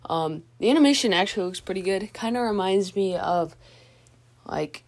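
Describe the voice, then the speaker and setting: A woman's voice: mid-range voice, lively intonation, moderate pace, darkish tone. A woman hosting a podcast in a casual, informal way, hesitantly thinking aloud as she goes. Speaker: podcast host. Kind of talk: casual movie news chat.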